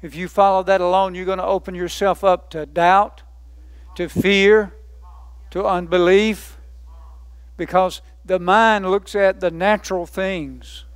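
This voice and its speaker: A man's voice: high voice, steady tone, unhurried, smooth, no room sound. A man preaching a sermon, emphatic, building lists by repetition and asking rhetorical questions.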